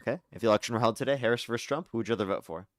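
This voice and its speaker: A young man hosts a live call-in debate stream, rattling through callers at a rapid clip. His voice sits close and dry, with no room sound around it. He moves briskly from one caller to the next and drops sarcastic asides along the way. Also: mid-range voice, dry recording. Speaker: livestream debate host.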